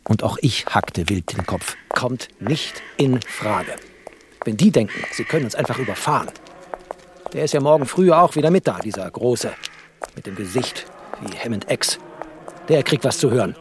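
An actor playing a bookish, sardonic refugee who narrates a literary radio play in a deliberate, biting, poetic way. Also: male voice, mid-range voice, animated, noisy background, lightly acted. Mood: indignant, scornful. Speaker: narrator and male lead.

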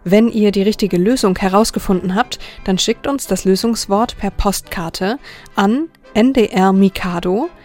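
A woman's voice: medium pitch, a little mellow. A woman presenting a children's radio programme in a scripted style, close to a studio microphone.

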